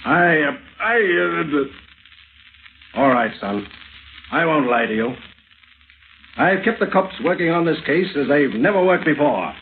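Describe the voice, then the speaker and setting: A man's voice: fairly high pitch, animated, a little slow, long pauses. An actor playing a fatherly older friend, speaking earnestly and emphatically in vintage radio drama style. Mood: concerned, reluctant. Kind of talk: 1930s-40s radio acting. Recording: tinny old-time radio recording with hiss.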